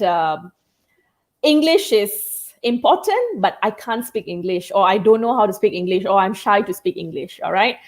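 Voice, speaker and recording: A woman whose first language is Tamil, speaking as a communication trainer in a live online chat, explaining tips and often checking in with "okay?" and "all right?". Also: fairly high pitch. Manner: instructive, peppers speech with "all right?" and "okay?".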